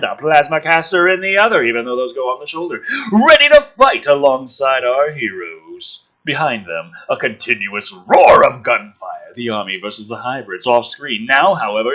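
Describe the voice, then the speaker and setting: A man reads a script aloud as a host, with a mocking, theatrical manner. His voice is forceful and a little rough, and it swings widely in pitch as he adds exasperated asides. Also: rough recording. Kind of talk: animated script read-through with sarcastic asides.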